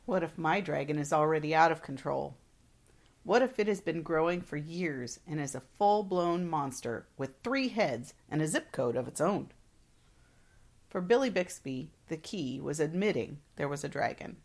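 A woman's voice: low voice, lively intonation, normal speed. A woman co-hosting a devotional, reading reflections and scripture aloud. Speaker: devotional co-host.

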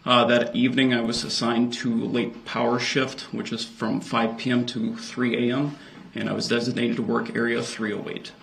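An American man in his thirties giving precise, measured, formal testimony as a police officer. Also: mid-range voice, little pitch movement, creaky, a bit bright. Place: indoor courtroom witness stand. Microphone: stand microphone before him. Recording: courtroom microphone with faint noise.

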